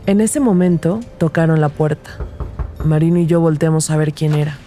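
A woman's voice: low voice, normal speed, dark tone, faint music behind, lightly acted. A woman playing an observant, empathetic rookie detective who narrates an audio drama in the first person. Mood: measured, reflective.

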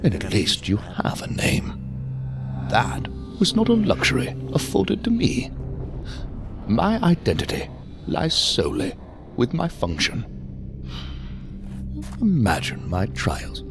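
A man's voice: mid-range voice, huge pitch swings, slow pace, vocal fry, long pauses, over music, exaggerated voice. An actor playing a brooding, bitter man who has no name, only a function. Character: brooding nameless man defined by his function.

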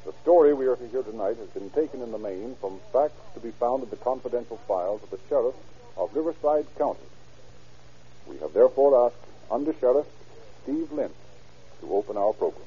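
A man's voice: mid-range voice, lively intonation, fairly quick, breathy edge, lo-fi sound. A man working as a radio announcer, speaking formally and emphatically in a polished 1930s broadcast style. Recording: band-limited old radio broadcast recording.

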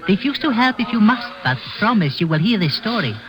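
A man's voice: sits high, very animated, brisk, a crowd in the background, low-quality recording. A man playing a courteous, pleading Egyptian shopkeeper in an old-time radio drama, formal and deferential. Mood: earnest, pleading. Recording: vintage radio broadcast recording.

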